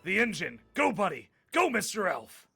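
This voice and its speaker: A man's voice: high voice, huge pitch swings, normal speed, vocal fry, pushed and bright, slightly rough, faint music behind, full character voice. A man playing a weary, anxious but kindly old Santa Claus in a live table read, delivered emphatically. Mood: encouraging, urgent.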